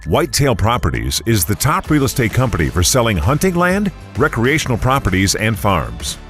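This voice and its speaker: A man's voice: mid-range voice, very animated, moderate pace, a bit bright. Man reading a polished radio ad. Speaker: ad announcer. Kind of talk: scripted radio advertisement. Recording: music bed behind.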